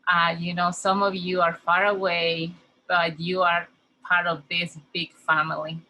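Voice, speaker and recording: A middle-aged woman, a native Spanish speaker with a Spanish accent, welcomes a conference at a slow, careful pace. Her voice sits a little low but sounds bright and clear. Faint background noise runs under the video call. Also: level tone, low-quality recording. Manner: slow, earnest, heartfelt, with pauses and fillers. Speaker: conference speaker, community health worker.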